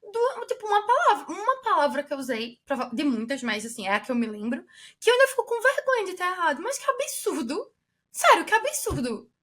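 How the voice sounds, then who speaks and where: A woman's voice: very high-pitched, a little fast. A Brazilian woman co-hosting a podcast, speaking animatedly and emphatically in casual chat. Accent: Brazilian Portuguese. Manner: animated and emphatic, lively rises. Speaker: podcast co-host.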